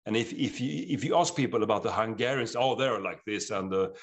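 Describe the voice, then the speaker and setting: A middle-aged man with a Swedish accent, an author talking in an interview, earnest and animated, often restarting his sentences. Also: on the low side, brisk. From Sweden. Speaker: author guest. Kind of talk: interview conversation.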